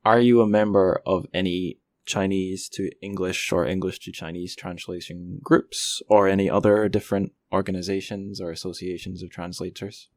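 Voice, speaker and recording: A man's voice: low voice, fairly even intonation, moderate pace, hardly any pauses, dry recording. A young man hosting a podcast interview in an informal, self-deprecating way. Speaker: podcast host. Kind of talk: casual interview.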